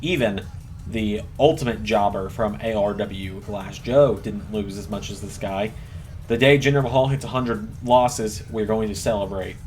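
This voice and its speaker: A man commentating play-by-play on a wrestling video game as channel host, with announcer-like, drawn-out emphasis. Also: fairly low pitch, a little mellow, soft background music. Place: voice-over recorded at a computer over gameplay.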